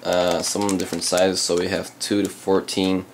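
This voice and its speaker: Deep-voiced dutch guy unboxing pc parts at his desk, lots of ums, slight hiss. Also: monotone. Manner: halting, many ums and uhs. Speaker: channel host reviewing PC parts. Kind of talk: casual unboxing talk. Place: indoors at a desk, hands on camera.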